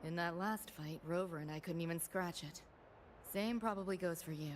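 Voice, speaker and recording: A woman's voice: fairly low pitch, normal speed, background noise, natural voice. A woman playing a cool, guarded young female fighter in a video game, terse and matter-of-fact. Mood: cool, resolute. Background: loud game music.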